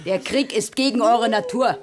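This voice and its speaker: A woman's voice: a little high, normal speed, crowd noise, close to an everyday voice. An actress playing a proud, defiant queen in a radio play, speaking with haughty emphasis. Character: proud, defiant queen standing up to her husband. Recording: clearly noisy track with background ambience.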